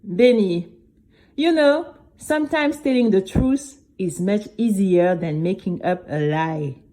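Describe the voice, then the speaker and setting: A woman voicing a kindly, knowing male neighbor in an audio drama, with a soft, measured, advising manner. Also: medium pitch, natural voice. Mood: gently probing.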